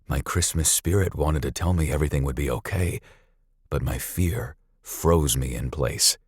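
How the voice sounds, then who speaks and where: A man narrating a scripted story dramatically, with a suspenseful, deliberate delivery. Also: extremely low pitch, slightly rough, no echo, studio quality.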